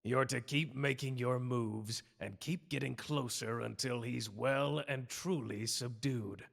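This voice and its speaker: Man acting a very gravelly, cold council elder. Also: mid-range voice. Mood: cold, stern. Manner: slow, formal, condescending. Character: cold, menacing male elder of a sorcerer council. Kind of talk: scripted fantasy audio drama acting. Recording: long hall reverb.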